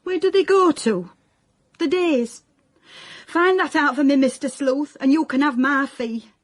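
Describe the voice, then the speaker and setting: A woman's voice: sits high, a little slow. An actress playing a nagging older mother in a radio comedy drama, dry, sceptical and wistful, with a South Yorkshire accent. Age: middle-aged or older.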